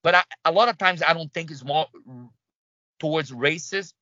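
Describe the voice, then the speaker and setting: A man from Brazil with a Brazilian Portuguese accent, a guest in an interview, talking in halting bursts with many restarts and fillers. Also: on the high side, bright, forceful tone, no echo, muffled recording. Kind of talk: conversational interview.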